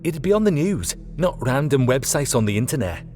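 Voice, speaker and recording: A man voicing a sharp, practical woman engineer in an audiobook, brisk and confident, firm yet friendly. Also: mid-range voice, big pitch swings, in a large hall, faint music behind, voice pushed up, close to an everyday voice. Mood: firm, sceptical.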